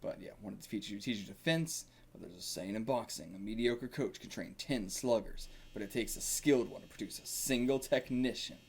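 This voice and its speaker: A man voicing an old, gruff boxing coach with a gravelly, solemn, confessional delivery. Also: on the low side, lively intonation, a little fast, hardly any pauses, exaggerated voice. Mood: solemn, remorseful.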